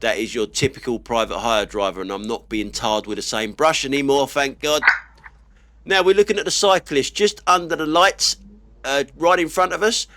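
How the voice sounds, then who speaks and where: A man's voice: medium pitch, moderate pace, a bit bright, slight background noise. Blunt British man with a head cold. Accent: British English. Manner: blunt and grumbling, swears casually. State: stuffy nose from a cold. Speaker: dash cam vlogger. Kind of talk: casual driving commentary. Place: inside his car while driving.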